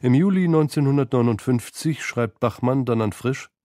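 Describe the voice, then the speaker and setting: Mellow male documentary narrator, dry studio sound. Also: medium pitch, normal speed, no room sound. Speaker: narrator of a literary feature. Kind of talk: scripted radio documentary narration. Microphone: close studio microphone.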